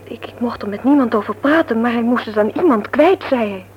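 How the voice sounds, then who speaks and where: A woman's voice: fairly high pitch, expressive, brisk, lightly acted. An actress playing an earnest, anxious young woman, confiding and hesitant, in a vintage radio serial. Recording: dull, noisy old radio drama recording.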